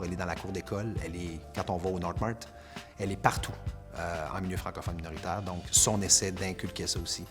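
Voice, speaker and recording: A man, an educator speaking earnestly in a documentary interview. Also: deep voice.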